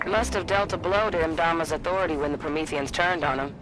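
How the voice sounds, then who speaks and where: A man's voice: fairly high pitch, steady tone, fairly quick, loud music behind the voice, close to an everyday voice, low-quality recording. A man playing a practical, curious soldier in a military sci-fi game, talking casually in clipped phrases. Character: easygoing, curious male soldier, practical squadmate.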